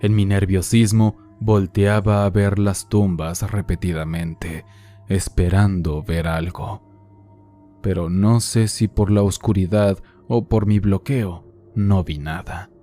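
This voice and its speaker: A man calmly narrating horror stories sent in by listeners, in a measured, somber reading. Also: low-pitched. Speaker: horror story channel narrator. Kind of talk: scripted horror narration read from listener letters. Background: faint music.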